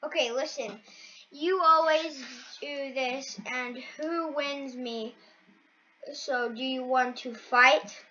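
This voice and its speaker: A woman with a bright, forward voice acts out an amateur anime-style school drama. Her pitch sits high and her delivery is unhurried. The recording is dull and lo-fi. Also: steady tone. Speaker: amateur voice actor for a fan-made anime story video. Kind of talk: amateur dramatized story dubbing, voicing every character. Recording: muffled lo-fi recording.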